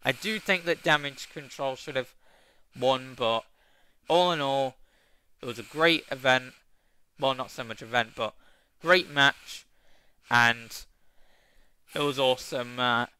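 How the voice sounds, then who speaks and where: A man's voice: mid-range voice, pushed and bright, smooth. A young man casually reviewing a wrestling show, rambling with lots of fillers and restarts, with a tickly cough.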